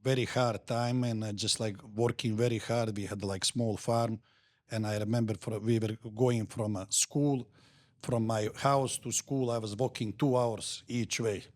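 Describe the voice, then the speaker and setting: A middle-aged man from Montenegro with a Montenegrin accent, talking as a guest in an interview in halting, repetitive, simple phrases. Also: lowish voice, steady tone, darkish tone. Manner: halting, repeats words, simple grammar. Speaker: guest entrepreneur. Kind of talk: podcast interview.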